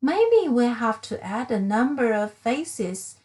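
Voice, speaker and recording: A thoughtful young knight from a picture book, read by a woman, puzzling things out as he talks. The voice stays bright-toned and high, moving freely up and down. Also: very animated, slow pace. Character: young male knight Vertex, thoughtful and curious.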